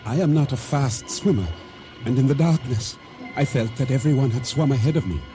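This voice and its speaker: An actor playing a solemn island boatman, narrating his ordeal at sea in measured, formal, deliberate words for a radio drama. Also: medium pitch, lightly acted. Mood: grave, measured. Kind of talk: dramatic first-person narration for radio theater. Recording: radio drama with music underscore.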